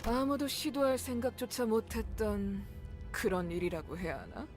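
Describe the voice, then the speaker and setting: A woman voicing a composed young fighter in a game, calm and matter-of-fact. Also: on the high side, racing, over music. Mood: cool. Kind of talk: game voice acting.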